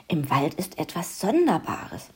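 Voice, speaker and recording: A curious, wily storybook hare , as a woman narrator puts him on, talking in a calm, coaxing way close to her own low, husky voice. He sounds as if he is forcing himself to stay composed. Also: a little slow, full character voice. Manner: calm, coaxing, slightly strained.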